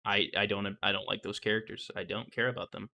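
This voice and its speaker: A young man talks over a casual podcast chat with a bright, forward tone. He is blunt and opinionated and swears freely, often restarting his sentences as he hunts for his point. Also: on the low side, steady tone, fast, creaky. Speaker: podcast co-host. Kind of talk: casual anime review chat.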